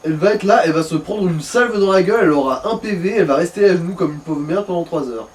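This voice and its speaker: Fairly high male gamer, slightly distant. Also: fast. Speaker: co-commentator of a let's play. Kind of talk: casual gaming commentary. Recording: noisy room, distant microphone.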